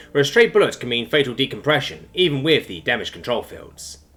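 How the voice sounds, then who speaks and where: Man narrating fiction with lively, swooping delivery. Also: medium pitch. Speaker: narrator of online science fiction stories. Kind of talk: expressive scripted audiobook narration.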